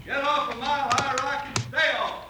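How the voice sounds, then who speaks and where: An actor playing a hostile, trigger-happy western claim holder, shouting threats in an old radio drama. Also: male voice, extremely high pitch, fairly even intonation, moderate pace. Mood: furious. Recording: distant, echoing, very noisy old radio recording.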